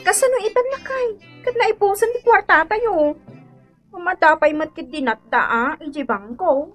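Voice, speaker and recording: An actress in a radio drama playing a money-minded wife who frets and scolds in exclamations. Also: female voice, very high voice, lively intonation, moderate pace, fairly bright tone, faint music behind. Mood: anxious. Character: sharp, money-minded wife fretting over savings.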